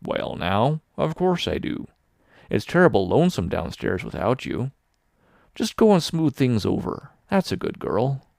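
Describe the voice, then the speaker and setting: A man voicing a shy, awkward older farmer who speaks in a hushed, halting, coaxing way. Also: medium pitch, sing-song, creaky, no room sound, studio quality, pitched above the performer's own voice.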